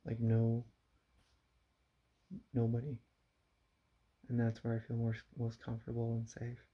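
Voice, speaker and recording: A young man talking quietly into his camera at home in a confessional vlog, halting and subdued, with frequent sighs. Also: mid-range voice, monotone. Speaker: vlogger. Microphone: camera mic. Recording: dull lo-fi camera audio.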